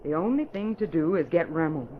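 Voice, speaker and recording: An actress playing a cool, practical criminal accomplice in an old radio drama, composed and businesslike. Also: female voice, low-pitched, animated, a little fast. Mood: soothing, firm. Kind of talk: 1940s radio acting. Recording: muffled old radio recording with hiss.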